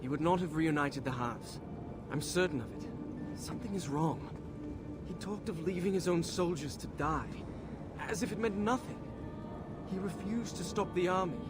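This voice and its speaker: A man plays a loyal young prince troubled by duty in an adventure video game. His voice sits a bit high and sounds earnest and resolute. Loud music runs behind him. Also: moderate pace, natural voice. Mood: troubled, questioning. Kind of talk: dramatic video game acting.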